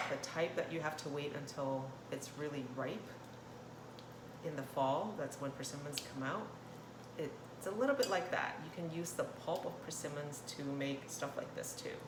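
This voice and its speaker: A woman from Thailand hosting a casual cooking demonstration, chatting in a rambling way and often interrupting herself. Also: deep voice. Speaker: cooking channel host. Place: indoor kitchen. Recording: roomy echo, clear kitchen noise, clattering dishes. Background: cutlery and dish clatter.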